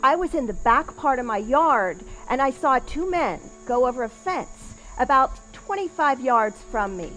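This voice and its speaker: Woman acting high-voiced, slow-spoken doctor's wife, eyewitness. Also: expressive, a bit bright, soft background music, rough recording. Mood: recounting. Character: doctor's wife recounting witnessing a robbers' chase in 1910s. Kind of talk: scripted first-person historical monologue.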